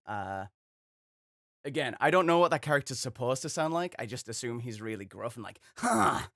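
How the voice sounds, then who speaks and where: A man's voice: mid-range voice, animated, brisk, fairly bright tone, no echo, clean studio sound. A young man chatting casually as host of a voice acting video, rambling and self-deprecating. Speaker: voice acting YouTuber. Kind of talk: casual chat.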